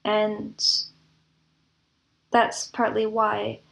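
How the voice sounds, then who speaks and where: A woman's voice: mid-range voice, completely flat delivery, drawn-out words, vocal fry. A woman speaking as an interview guest, explaining her creative work in a thoughtful, descriptive way. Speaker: guest composer and audio drama producer. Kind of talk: reflective interview talk.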